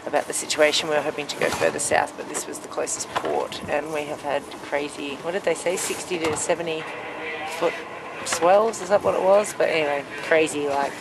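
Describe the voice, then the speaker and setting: A person recording a casual, informal video diary and putting questions to crewmates inside a small boat. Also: creaky. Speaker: crew member filming and interviewing crewmates. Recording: noisy boat cabin with engine rumble.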